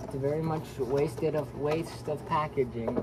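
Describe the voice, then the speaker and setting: A man's voice: on the high side, a little slow. A young man chatting casually in a tentative way while co-hosting an unscripted gadget review at home. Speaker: co-host of gadget review vlog. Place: small carpeted room in a house. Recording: noisy handheld home recording.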